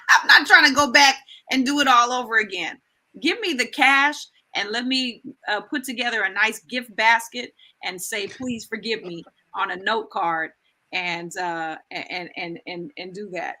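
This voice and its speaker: A woman in her late forties co-hosting a casual live talk show. Her voice is strikingly bright and sits a little high. She talks emphatically, hammering points home by repeating her phrases. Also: unhurried. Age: 40s. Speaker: co-host. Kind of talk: casual chat.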